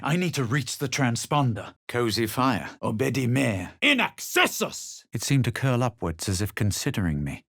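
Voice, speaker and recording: A man voicing a smug, self-assured adventurer hero in a fantasy video game, with a husky voice and a measured, dramatic delivery. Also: mid-range voice, fairly bright tone, no room sound, clean studio sound, heavily acted. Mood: commanding incantation. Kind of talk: video game voice lines, narration and spell calls.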